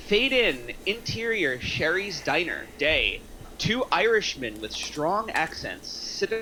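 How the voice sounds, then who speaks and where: A man's voice: a little high, sharp tone, a little hiss or hum, rough recording. A man reading a screenplay's scene directions aloud as scripted narration. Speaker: narrator at screenplay table read.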